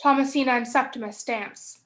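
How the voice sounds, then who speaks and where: Young adult female narrator for a staged play reading; high pitch, scripted stage directions. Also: fairly even intonation, normal speed.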